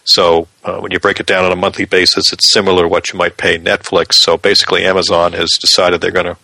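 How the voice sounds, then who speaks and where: A man with a low but bright, forceful voice co-hosts a podcast. He explains things conversationally, and the sound is close and dry with no room around it. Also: level tone, fast talker, creaky, no room sound, poor audio. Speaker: podcast co-host. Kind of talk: casual explanatory chat.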